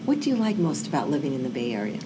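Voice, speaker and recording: A woman's voice: extremely low pitch, big pitch swings, racing. A woman hosting a local TV talk show, friendly and casual with fillers. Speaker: TV show host. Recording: local TV studio with noisy background.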